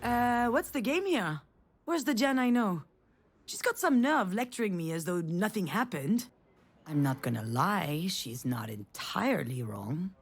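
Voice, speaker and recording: A woman's voice: medium pitch, very animated, measured pace, husky, exaggerated voice. A woman playing a guarded, wry young failed screenwriter in a visual novel, with a dry, sardonic, confiding manner. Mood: exasperated. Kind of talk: visual novel voice acting with inner monologue.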